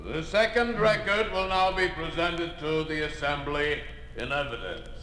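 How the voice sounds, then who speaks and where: An actor playing a stern prosecutor presiding over an assembly, formal and commanding, in 1950s radio drama style. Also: male voice, on the high side, measured pace, sharp tone. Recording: echoey hall, faintly noisy old radio recording.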